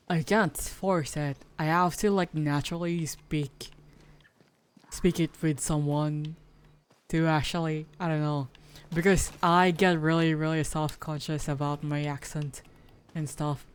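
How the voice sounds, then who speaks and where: Low, slow Filipino woman, smooth voice. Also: steady tone. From Philippines. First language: Tagalog. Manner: hesitant, full of fillers and restarts. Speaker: streamer. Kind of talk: casual chat while playing a game.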